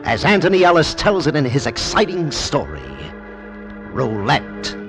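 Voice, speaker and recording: Male vintage radio announcer, dramatic promo read, lively swooping pitch, music behind. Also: a little slow. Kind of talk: dramatic scripted mid-century radio promo.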